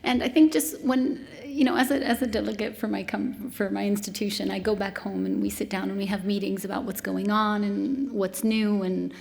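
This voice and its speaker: A woman's voice: medium pitch, steady tone, normal speed, vocal fry, few pauses, a little mellow. A woman from Malta talking informally as a participant on a panel, representing her institution.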